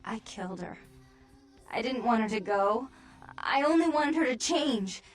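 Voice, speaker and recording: A woman playing a vampire with two warring personalities, stern and wild, in dramatic video game acting that swings between hushed and fierce. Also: on the high side, lively intonation, unhurried, soft background music. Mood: hushed, mournful.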